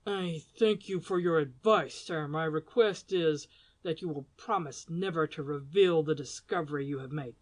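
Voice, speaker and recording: A woman reading an audiobook, voicing a grave, secretive gentleman who speaks low, hushed and stiff. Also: normal speed.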